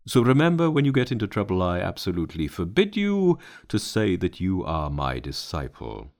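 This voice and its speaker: A man voicing a stern, elderly male spiritual master in a storybook reading, scolding in a loud, deliberate way. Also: sits low, huge pitch swings, heavily acted. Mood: cold.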